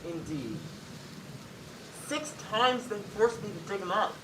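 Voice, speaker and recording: A young woman acting a deadpan, evasive, dim-witted husband in a high school stage comedy, recorded on an echoey stage. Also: slow. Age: teen. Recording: echoey noisy stage, distant mic.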